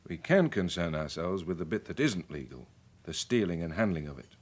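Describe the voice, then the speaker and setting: An actor playing a worldly, middle-aged British police chief in a radio drama, measured and wry as he explains things. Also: deep voice, big pitch swings, husky, heavily acted. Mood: measured, emphatic. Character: worldly, wry senior police chief briefing his sergeant.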